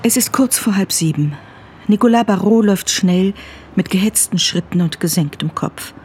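A woman reading scripted drama narration in a measured, unhurried manner. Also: medium pitch, darkish tone, a little hiss or hum. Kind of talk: scripted present-tense narration.

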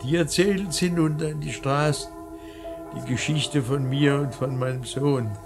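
Classic radio drama: male actor as elderly grieving painter, slow, halting, declamatory delivery, gravelly and husky, solemn and intense. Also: mid-range voice, music in the background, natural voice. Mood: exalted, mournful. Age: older. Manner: declamatory, halting, repeating words. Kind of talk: classic German radio play acting.

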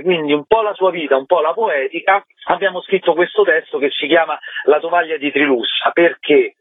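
A man chats as a radio interview guest. His voice is a bit high for a man. He comes through a dry, narrow telephone line. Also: no echo. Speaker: interview guest, stage actor and co-writer. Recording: tinny, dry phone line.

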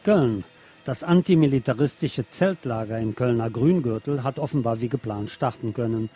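A man's voice: medium pitch, normal speed, warm tone. A man reading scripted political news and commentary as a radio presenter.

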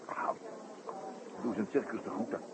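Actor as genial detective on a noisy old recording. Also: male voice, lowish voice, fairly even intonation, fairly quick, natural voice. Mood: courteous, probing. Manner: jovial, courteous, hesitating with ehs and chuckles. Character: genial French detective on holiday, older friend. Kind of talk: 1960s Dutch radio play acting. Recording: noisy, muffled old radio broadcast recording.

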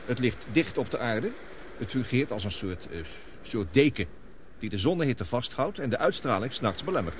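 A grave, guilt-ridden scientist played by a male actor in a radio drama. He explains things deliberately in a medium-pitched voice. Light noise sits on the old recording. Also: animated, natural voice. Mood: grave explaining.

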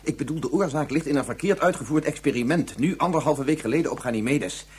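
A man's voice: fairly high pitch, fast, a little mellow, husky. An actor playing a guilt-ridden scientist father in a radio drama, stammering and repeating his filler phrase as he over-explains. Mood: guilty, explaining. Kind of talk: Dutch radio drama acting.

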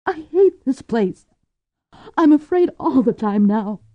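A woman's voice: sits high, lively intonation, slow pace, warm tone, dry recording, pitched above the performer's own voice, full character voice. A woman reading as a frightened pregnant woman. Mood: distraught. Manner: tearful, urgent. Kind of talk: audiobook character voice. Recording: dull lo-fi audio.

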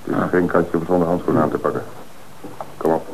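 An actor playing a calm, shrewd police commissioner who gives orders and explains the case in an old radio play. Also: male voice, sits low, quick pace, creaky, slight background noise, low-quality recording. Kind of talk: radio drama acting.

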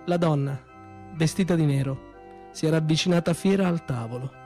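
A man performing a theatrical narrative monologue with expressive, deliberate phrasing and pauses. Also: on the high side, soft background music. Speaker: storyteller-actor.

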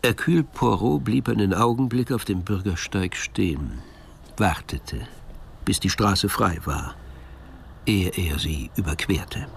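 Deep, creaky male radio-drama narrator. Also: a little hiss or hum. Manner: measured, literary storytelling.

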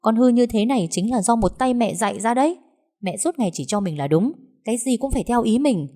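A woman voicing an angry grown daughter who talks back with shrill, accusing, tearful words. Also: animated, fairly quick, a little mellow. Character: angry grown daughter, sharp-tongued and bitter.

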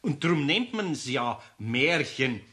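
Chuckling Bavarian old carpenter. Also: male voice, medium pitch, sing-song, pushed and bright, full character voice. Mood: fond. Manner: chuckling, hearty, drawn-out exclamations. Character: kindly older male master carpenter, good-natured. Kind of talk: 1960s German radio play acting.

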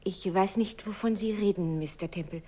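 An actress playing an evasive, nervous young girl in a 1950s German radio drama, speaking haltingly and guardedly in a breathy voice. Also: medium pitch, dark tone, natural voice. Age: young adult.

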